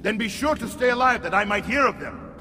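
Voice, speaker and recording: An actor playing an older warrior uncle in a video game, commanding and protective, with urgent, formal delivery. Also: male voice, high-pitched, expressive, a little fast, slight background noise. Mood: grave, resolute. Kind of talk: dramatic video game acting. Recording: long reverb under loud game music.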